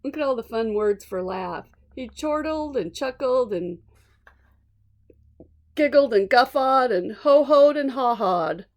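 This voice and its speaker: A woman's voice: fairly high pitch, lively intonation, slow pace. A woman reading a children's storybook aloud expressively, with small asides to listeners. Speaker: storybook reader.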